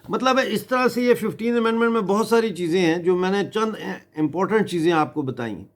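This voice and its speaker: This is a man. He delivers a political appeal in a grave, deliberate way. He breaks his sentences with emphatic pauses, as an activist addressing a government would. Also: sits high. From Mirpur in Kashmir.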